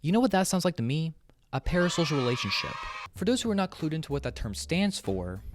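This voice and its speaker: Animated young man giving frank opinions. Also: a little high, quick pace, background noise. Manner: frank, profane, emphatic. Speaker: YouTube video essayist. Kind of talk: opinion video essay narration, conversational. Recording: music under the voice. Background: background music.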